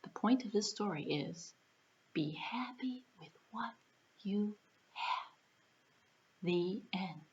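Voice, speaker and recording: Unhurried woman presenting children's storytime, muffled recording. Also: medium pitch, expressive. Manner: addresses young children in simple, deliberate phrasing. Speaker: children's library puppet show presenter. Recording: dull lo-fi sound, faint music.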